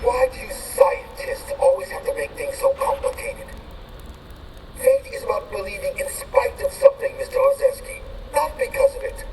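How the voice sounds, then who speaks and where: An actor playing a calm male true believer in a video game, speaking in measured, rhetorical phrases as if preaching. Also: very high-pitched, recorded from a distance, noisy background. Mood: calm conviction. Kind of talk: video game cutscene acting.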